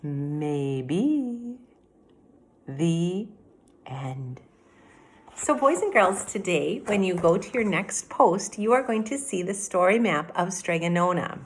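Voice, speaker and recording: A woman's voice: lowish voice, expressive, slow, a little hiss or hum. A woman in her fifties, a teacher reading a storybook aloud to children, dramatic, with explanatory asides. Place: small room at home, by a window.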